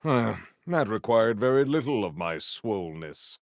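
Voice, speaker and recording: An actor playing a proud, battle-hungry warrior in a video game, with formal, stilted and grandiose diction. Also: male voice, lowish voice, very animated, measured pace, no echo, clean studio sound. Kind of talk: scripted video game character lines.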